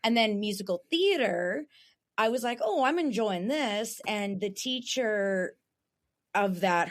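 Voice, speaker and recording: Young woman, raspy voice, talkative podcast guest, frequent laughs. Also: mid-range voice. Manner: chatty, laughs often, restarts. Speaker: guest voice actor. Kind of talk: casual podcast chat.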